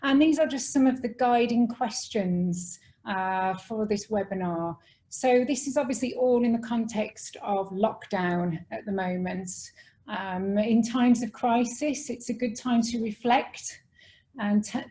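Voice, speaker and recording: A woman from the UK with a British accent presenting a webinar on a video call, brisk and organised with a few small hesitations. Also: on the high side, mellow. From United Kingdom. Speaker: educator and webinar co-host.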